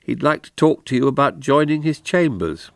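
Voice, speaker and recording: An actor playing a measured older former politician and lawyer reminiscing in a radio drama. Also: male voice, mid-range voice, expressive, no room sound, close to an everyday voice. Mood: measured reminiscence.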